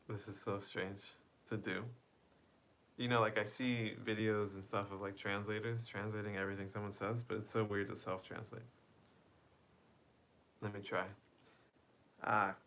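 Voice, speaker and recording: Man, slow pace, lowish voice, casual stream chat, bilingual. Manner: reads chat aloud, self-translates between English and Spanish; laughs softly between lines. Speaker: livestream host.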